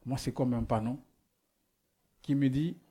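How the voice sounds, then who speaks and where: A man's voice: medium pitch, steady tone, measured pace, warm tone, slightly rough. A man who is not a native speaker preaches a sermon, asking rhetorical questions and often restarting as he searches for words. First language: not French.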